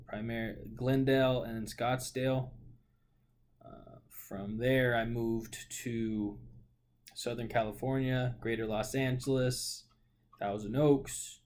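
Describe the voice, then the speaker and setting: A man's voice: mid-range voice, darkish tone, slight background noise. A man in his late twenties from Oregon, talking casually about his life in a rambling monologue full of fillers and self-corrections. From Portland, Oregon. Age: 20s. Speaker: vlogger.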